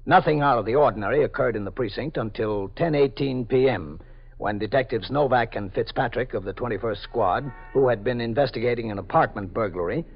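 An actor playing a police captain narrating a 1950s radio drama in a measured, formal manner. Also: male voice, medium pitch. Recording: dull old radio broadcast.

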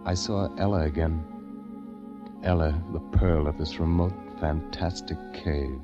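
An earnest, uneasy loner with a very deep voice. He is hesitant and brooding in old radio drama style, on a dull recording with music behind. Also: fairly even intonation, unhurried. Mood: brooding, haunted. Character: earnest, uneasy man trapped among eerie recluses. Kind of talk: 1940s radio acting, first-person diary narration.

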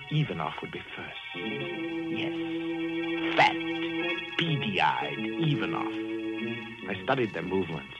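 An actor narrating as a cold, obsessed murderer in a 1940s radio drama, brooding and menacing. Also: male voice, fairly low pitch, highs cut off. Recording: music behind old radio recording.

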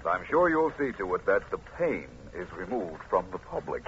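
An actor playing an urbane, good-humored private detective, polished and dryly teasing, in an old radio drama. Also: male voice, low voice, big pitch swings, moderate pace. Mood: genial, dry. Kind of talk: 1950s radio drama acting. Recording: muffled, hissy old radio recording.

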